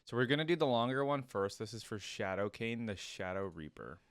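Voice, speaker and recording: A man's voice: medium pitch, animated, fairly quick, background noise. A man giving casual reaction commentary as host of a video, informal and thinking aloud. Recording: music playing over the voice. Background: game theme music.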